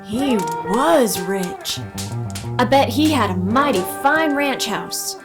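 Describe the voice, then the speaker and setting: A woman's voice: a little high, expressive, takes it slowly, over music. A woman playing a young orphaned runaway boy, sulky and hurt, in an old-time Western radio play. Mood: curious.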